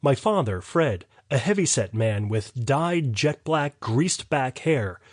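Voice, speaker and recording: A man's voice: medium pitch, big pitch swings, takes it slowly, dry recording, clean studio sound. A man reading scripted narration as the author of an audiobook.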